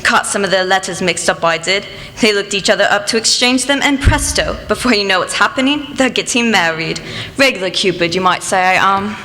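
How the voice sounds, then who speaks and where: A woman's voice: medium pitch, animated, fairly quick, hardly any pauses, bright, forceful tone. A woman playing a gossipy, self-important village postmistress with a chatty, folksy manner in a radio play. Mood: chirpy, gossipy. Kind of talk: amateur radio play acting. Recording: echoey hall, some background noise.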